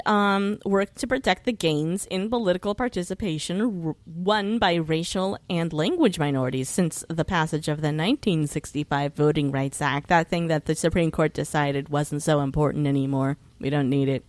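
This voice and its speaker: A woman's voice: lowish voice, lively intonation, normal speed, hardly any pauses, dry recording. An American woman chatting casually as a podcast guest, informal and wry, swearing freely and wandering into asides. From United States. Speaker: podcast guest who hosts her own show.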